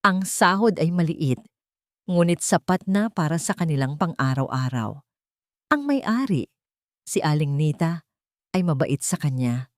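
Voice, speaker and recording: Filipino lady storyteller, lowish voice, dramatic narration, really dry close sound. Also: big pitch swings, a little slow, long pauses, dry recording.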